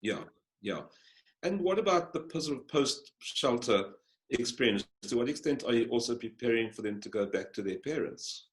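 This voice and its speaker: A male interviewer asking thoughtful, halting questions over a video call. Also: mid-range voice, lively intonation, rough recording.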